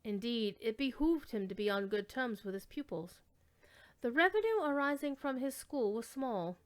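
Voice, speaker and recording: A woman reads classic fiction aloud as a storyteller. Her voice is fairly high, smooth and clear. She takes a measured, theatrical approach and lingers over descriptive words. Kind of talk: dramatic reading of classic literary fiction.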